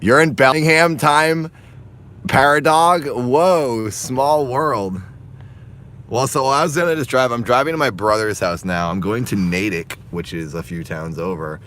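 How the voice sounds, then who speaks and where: A man from Massachusetts, hoarse and raspy, chatting casually on a phone live stream outdoors while walking and driving. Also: mid-range voice, unhurried, a bit bright. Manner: rambling, chatty, laughs often.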